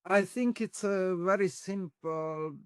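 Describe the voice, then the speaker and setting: Man, slow delivery, dry sound, explanatory interview guest. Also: high voice, clear, resonant tone, no echo. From Hungary. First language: Hungarian. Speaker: interview guest, former diplomat and academic.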